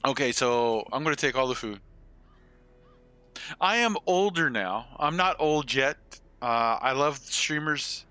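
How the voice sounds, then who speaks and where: A man's voice: medium pitch, expressive, measured pace, pushed and bright, smooth, no room sound. A man in his forties chatting casually and rambling with some salty swearing as he streams a game. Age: 40s. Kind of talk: casual live-stream chat with gameplay commentary.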